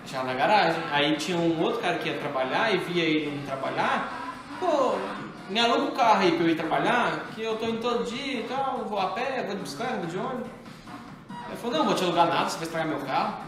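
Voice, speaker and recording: Male host, thirties, high voice, lively storyteller, echoey kitchen with background music. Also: quick pace. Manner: animated storyteller, acts out dialogue. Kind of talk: casual chat among friends. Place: home kitchen, indoors.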